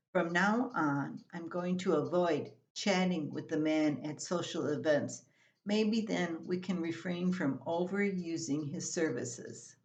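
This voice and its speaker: An older woman reading a scripted, humorous family column aloud as its writer, in an expressive storytelling manner. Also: on the low side, lo-fi sound. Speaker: columnist reading own writing.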